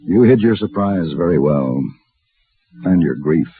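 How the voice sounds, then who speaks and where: An actor playing a suave, self-assured gunfighter for hire in an old western radio drama, speaking in a formal, polished, deliberate way. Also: male voice, low-pitched, expressive. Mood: quiet, courteous. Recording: old radio broadcast, narrow band, noisy.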